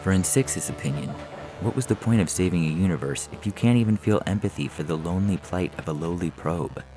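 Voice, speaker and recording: A man's voice: low voice, brisk, warm tone. A man narrating a scripted science fiction story as a podcast reader, with music behind him. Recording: music under the voice.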